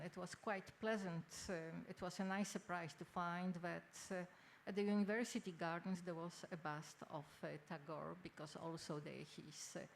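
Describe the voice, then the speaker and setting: Lower-voiced older Polish-accented woman, noisy recording. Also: creaky. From Poland. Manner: halting, hesitant phrasing, formal. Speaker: academic speaker, textile researcher. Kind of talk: conference lecture. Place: indoor symposium venue. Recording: noisy live symposium room recording.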